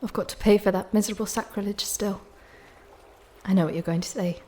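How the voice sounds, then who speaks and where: A woman's voice: mid-range voice, fairly even intonation, a little fast, mellow, a little hiss or hum, close to an everyday voice. An actress playing a troubled, guilt-ridden woman in an audio drama, subdued, resigned and polite. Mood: haunted, resigned.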